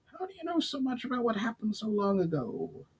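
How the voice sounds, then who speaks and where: A man's voice: extremely high pitch, big pitch swings, fairly quick, breathy edge, voice pushed up, heavily acted. A man voicing an inquisitive, earnest goblin companion who speaks formally in a storybook reading. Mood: surprised. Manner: formal, questioning speech.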